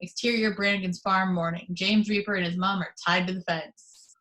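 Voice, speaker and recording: A woman reading the action descriptions aloud in steady, measured narration for an amateur table read over a video call. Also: mid-range voice, rough recording. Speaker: reader of stage directions.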